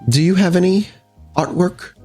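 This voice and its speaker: A man voicing a composed, courteous vampire woman over a hundred and fifty, speaking formally and with measured reserve in a tabletop game. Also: high voice, darkish tone, husky, soft background music, voice pushed up. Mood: reserved, warmer.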